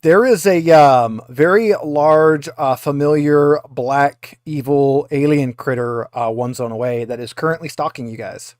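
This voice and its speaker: A man running a tabletop game as game master, narrating off the cuff in a casual, halting way with stammered repeats. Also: on the high side, a little mellow, dry recording.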